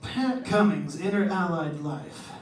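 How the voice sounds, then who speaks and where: Worried insurance exec for radio noir, male actor, high voice, hesitant, roomy and off-mic. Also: expressive, measured pace. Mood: urgent, suspicious. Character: worried male insurance man with nagging suspicions. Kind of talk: old-time radio drama acting. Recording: roomy, distant mic, faint background noise.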